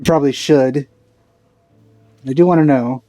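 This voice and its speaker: A woman's voice: rumbling low, unhurried, dark tone, soft background music. A woman giving casual, offhand commentary as she plays a video game, with a dry manner. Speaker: let's player.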